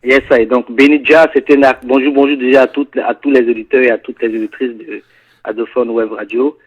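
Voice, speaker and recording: A singer from Ivory Coast with an Ivorian French accent, chatting in a radio studio interview and often answering "yes, sir". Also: male voice, medium pitch, fairly quick, slightly rough, highs cut off. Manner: relaxed, peppers talk with "yes, sir". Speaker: guest singer.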